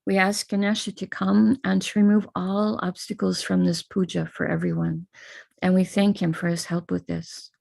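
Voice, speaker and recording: An American woman teacher leading a live online prayer ritual over a video call, speaking calmly and instructively between slow chanted invocations. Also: on the low side, vocal fry.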